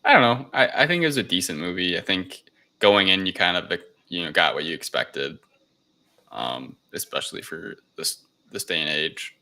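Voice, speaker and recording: A man's voice: deep voice, animated, moderate pace, bright, forceful tone. A man chatting casually as a podcast panelist reviewing films, with a hesitant, filler-heavy way of talking. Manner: hesitant, repeating "like" and "you know".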